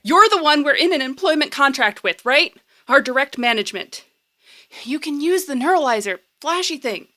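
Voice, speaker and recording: An earnest, high-voiced, anxious young woman. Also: lively intonation, normal speed, sharp tone. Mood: upset, pleading. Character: Jack, earnest anxious young woman, wry supernatural repair worker. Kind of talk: audio drama acting.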